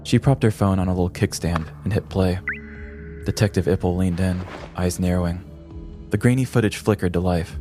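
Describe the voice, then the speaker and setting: Casting: male narrator, very deep and even voice, clear music underneath. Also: moderate pace. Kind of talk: scripted comedic narration.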